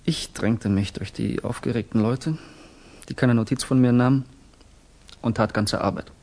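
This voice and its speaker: Actor playing a fervent young revolutionary student, husky voice. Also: male voice, mid-range voice, steady tone, normal speed, darkish tone, lightly acted. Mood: fervent, grave. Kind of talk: 1960s German radio drama acting.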